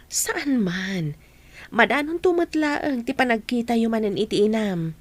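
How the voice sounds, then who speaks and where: Gentle, lively-voiced coaxing aunt. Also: female voice, on the high side, unhurried, no echo. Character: gentle aunt coaxing and consoling a sad child. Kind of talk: radio drama acting.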